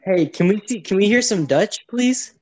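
A man's voice: high-pitched, expressive, moderate pace. A man hosting a casual podcast over an online call, playful and full of questions. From Mexico. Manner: playful, upbeat, asks lots of questions. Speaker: podcast host. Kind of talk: casual chat. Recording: remote call, voice breaking up.

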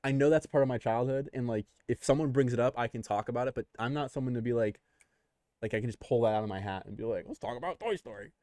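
A man's voice: medium pitch, fast, dry recording. Man bantering casually, dry close sound. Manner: excitable, repeats phrases for emphasis.

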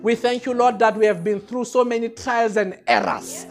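High-voiced man praying fervently. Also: steady tone, normal speed, faint music behind. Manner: impassioned, repetitive, often shouting praises. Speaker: pastor leading prayer. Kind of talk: fervent spoken prayer and worship.

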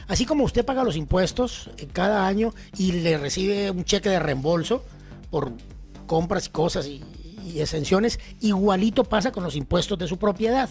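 A man's voice: high-pitched, expressive, brisk, soft background music. A man hosting a studio interview, jovial and full of banter, asking questions in simple terms. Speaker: host. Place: studio table.

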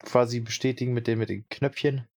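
A man's voice: mid-range voice, steady tone, fairly quick, darkish tone. A young man from Brandenburg co-hosting a podcast, chatting casually and rambling with many fillers. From Brandenburg, Germany. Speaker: podcast co-host.

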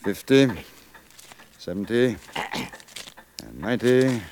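An actor playing a polite, curious gentleman traveler in a 1950s radio western, speaking in a measured, slightly hesitant way. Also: medium pitch, sing-song. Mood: hesitant, thoughtful. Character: courteous, curious gentleman traveler in the Old West. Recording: noisy 1950s radio recording.

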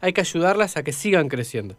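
A man's voice: a little high, big pitch swings, a little fast, no echo. An Argentine man hosting a conversational radio interview in a chatty, informal way. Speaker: radio host.

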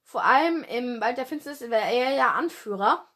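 A woman's voice: on the high side, animated, normal speed, a bit bright. A young woman hosting her own fan podcast, rambling casually with lots of fillers and slang, her nose stuffed up from a cold. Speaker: podcast host.

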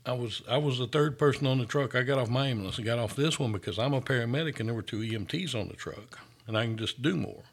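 A man's voice: medium pitch, quick pace, few pauses, husky. A man who works as a paramedic, telling stories in an earnest, easygoing way during a casual chat. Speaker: paramedic, podcast host.

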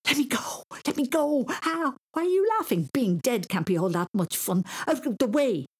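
A woman acts out an excitable little storybook boy in pyjamas, pitched up high and a touch gravelly. He is easily frightened and cheeky, and he exclaims and stammers with great indignation. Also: moderate pace. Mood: panicked, shouting. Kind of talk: children's story reading.

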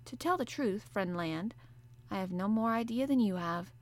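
A woman voicing a calm, polite male manservant who speaks in a measured way in an audiobook. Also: mid-range voice, lightly acted. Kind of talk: audiobook character voice.

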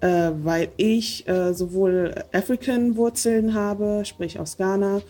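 A woman's voice: mid-range voice, fairly even intonation, takes it slowly, mellow. A woman hosting a casual podcast interview, talking with many hesitant fillers. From Germany. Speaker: podcast host.

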